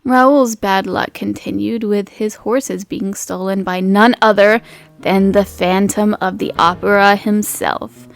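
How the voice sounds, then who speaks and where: A woman's voice: medium pitch, steady tone, a little slow, gravelly, no room sound. A woman playing a slangy, dramatic cryptid podcast host, narrating a scripted recap. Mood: chatty, dramatic. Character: chatty storyteller cryptid podcast host named Gaston Leroux, boyish, theatrical.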